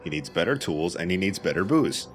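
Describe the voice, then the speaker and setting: A man's voice: sits low, very animated, fairly quick, faint music behind. A man casually chatting and reacting to gameplay as a co-commentator, easygoing and informal. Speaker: let's play co-commentator.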